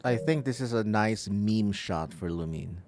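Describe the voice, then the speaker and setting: A man's voice: lowish voice, a little slow, noisy background. A man doing casual live commentary on a game playthrough, sometimes reading dialogue aloud. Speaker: gaming streamer.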